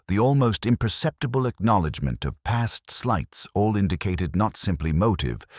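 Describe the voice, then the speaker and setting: A contemplative detective inspector played by a man recounts his case in a very low voice, recorded dry and close. His delivery is formal and measured, with lively rises and falls, in the manner of a literary mystery story. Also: no echo, full character voice. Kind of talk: scripted first-person detective story narration.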